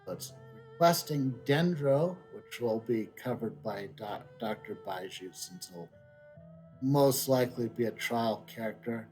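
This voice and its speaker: Slow-paced man musing over a video game. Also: mid-range voice. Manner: musing, thinking out loud. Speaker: game streamer. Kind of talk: casual gameplay commentary. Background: game music.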